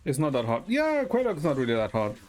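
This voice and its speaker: A man's voice: a little high, wildly swooping pitch, fairly quick, darkish tone. Casual man thinking aloud over music. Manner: hesitant, thinking aloud, mild swearing. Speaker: game streamer. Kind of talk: casual live stream chat with viewers. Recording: music playing behind the voice.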